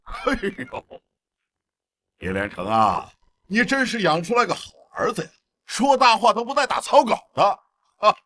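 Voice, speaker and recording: A man's voice: mid-range voice, big pitch swings, measured pace, long pauses, bright, forceful tone, slight background noise. A man acting a smug, scheming middle-aged clan patriarch, a melodrama villain with a sneering, theatrical delivery. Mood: sneering mockery. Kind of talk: melodramatic short-drama acting.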